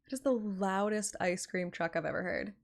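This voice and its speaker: A woman live-streaming a game, playful and expressive, switching between casual reactions and reading dialogue aloud. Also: mid-range voice, normal speed, creaky. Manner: playful, expressive, swears freely. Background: game music.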